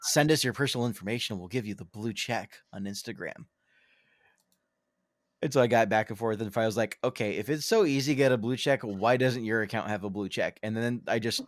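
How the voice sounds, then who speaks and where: A man's voice: medium pitch, lively intonation, fairly quick, dry recording, clean studio sound. A man co-hosting a podcast in casual chat, rambling and self-deprecating, with many ums and restarts. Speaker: podcast co-host.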